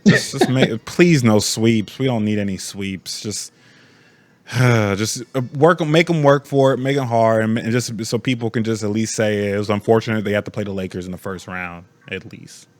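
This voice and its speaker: A man co-hosting a podcast in casual sports chat, halting and laid-back in the way he talks. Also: fairly low pitch, no room sound. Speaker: podcast co-host.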